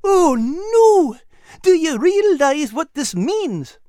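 A man's voice: very high-pitched, very animated, slow, dark tone, no room sound, clean studio sound, voice pushed up, full character voice. A man voicing a chubby, earnest animal character in a children's story, talking in an eager, folksy way. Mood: alarmed. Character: chubby, earnest, excitable male animal with a paw.

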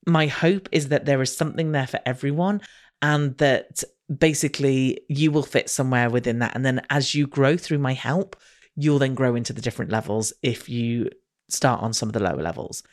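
A woman hosting a podcast, upbeat and chatty, with a slightly croaky voice, recorded remotely online. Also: rumbling low, moderate pace. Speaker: podcast host. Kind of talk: casual podcast monologue and interview.

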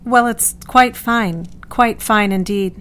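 A woman's voice: medium pitch, steady tone, a little slow, lightly acted. A woman playing a placid, docile young married patient who speaks politely, simply and vaguely in a period audio drama.

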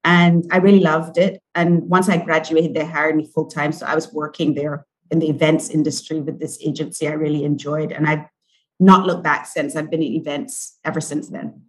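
A woman's voice: low-pitched, flat delivery, normal speed, poor audio. This is a woman. She speaks as an interview guest, giving a personal account in a conversational style. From Barbados. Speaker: podcast guest, corporate event organizer.

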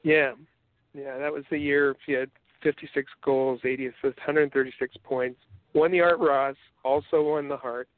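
A Canadian man co-hosting a podcast, rambling through casual chat with many halting restarts. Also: medium pitch, dry recording. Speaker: podcast co-host. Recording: tinny phone-like line.

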